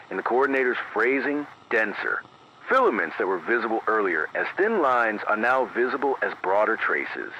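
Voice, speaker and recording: A man acts out a grave male emergency announcer in an analog horror radio play. His low voice has a crisp, forceful edge and moves widely in pitch, yet the delivery stays formal, measured and deadpan. It comes through an AM radio filter. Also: exaggerated voice. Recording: muffled lo-fi radio broadcast, clear static noise.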